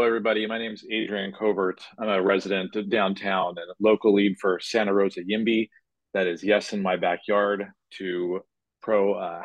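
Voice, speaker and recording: Dull, band-limited call audio with very little room sound carries a man's voice. It is fairly low. He addresses a public meeting remotely as a local advocate. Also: dry recording. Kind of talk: public comment at a city meeting. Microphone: video call. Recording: muffled, dry video-call audio.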